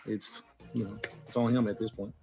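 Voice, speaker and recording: A man on a reality show, talking things over in a measured, hedging way with frequent "um"s. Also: lowish voice, level tone, creaky, darkish tone, soft background music. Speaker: reality show cast member. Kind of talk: reality TV conversation.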